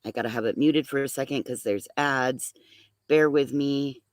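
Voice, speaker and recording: A panel co-host who handles the clips, speaking in a brisk, practical way and announcing what comes next. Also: medium pitch, no echo. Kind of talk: casual live-stream chat.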